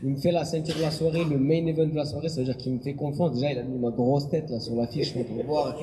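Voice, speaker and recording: Male boxer, brash and cocky, a bit high-pitched, hall with audible noise. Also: fairly even intonation, fast, dark tone. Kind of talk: press conference statement. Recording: long hall reverb with clear background noise.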